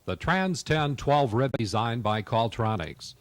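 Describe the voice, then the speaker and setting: Male ad voice, lively, dry close-mic sound. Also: medium pitch, a little slow, no room sound. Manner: punchy salesman delivery. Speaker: commercial announcer. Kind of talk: scripted ad read.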